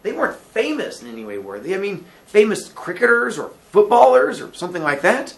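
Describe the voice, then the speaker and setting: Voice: mid-range voice, big pitch swings, brisk, vocal fry, voice pushed up. A reader voicing an earnest, polite young Englishman from a story, puzzled and insistent, speaking eagerly with hesitant, emphatic phrasing.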